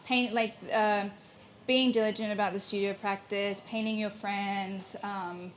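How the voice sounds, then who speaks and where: A woman's voice: medium pitch, fairly even intonation, unhurried, slight background noise. A young woman from New Zealand speaks at a live gallery talk, reflective and a little hesitant. She often thinks aloud as she answers. Faint room ambience sits behind her handheld mic. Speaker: painter, invited artist. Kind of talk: live public conversation. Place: gallery space with an audience. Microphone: handheld microphone.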